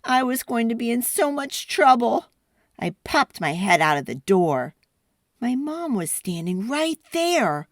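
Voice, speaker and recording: A woman hosts a children's read-aloud in an expressive storytelling style. Her voice is a bit high and swings widely, and she takes her time with dramatic phrasing at a very unhurried pace. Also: no echo, studio quality. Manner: animated, deliberate, dramatic phrasing.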